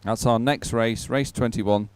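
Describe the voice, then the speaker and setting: A man presenting a live sports event off the cuff, in a chatty, somewhat halting manner. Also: mid-range voice, fairly even intonation, a little mellow, clear, resonant tone, dry recording.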